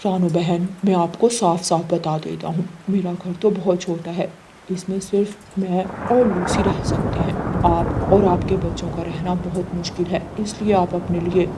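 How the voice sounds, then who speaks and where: A woman's voice: lowish voice, fairly even intonation, moderate pace, noisy background, pitched below the performer's own voice, close to an everyday voice. A woman voicing a blunt, unwelcoming male pigeon in a cartoon story, firm and matter-of-fact. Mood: firm refusal.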